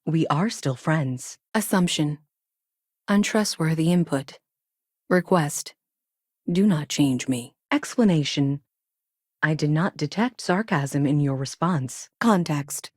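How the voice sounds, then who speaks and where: A woman voicing a calm, literal, childlike female artificial intelligence in a video game, speaking in stilted, deliberate, clipped statements with an emotionless delivery. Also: deep voice, dry recording, studio quality, full character voice. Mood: detached, uncertain. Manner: stilted, deliberate, prefacing statements with one-word labels.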